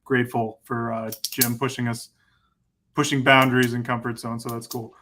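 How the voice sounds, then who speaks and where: A man's voice: mid-range voice, flat delivery, normal speed, noisy background. A man who works as a videographer, introducing himself modestly and a little shyly on a video call. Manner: modest, a bit shy on camera. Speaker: in-house videographer and editor. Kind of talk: casual team introduction.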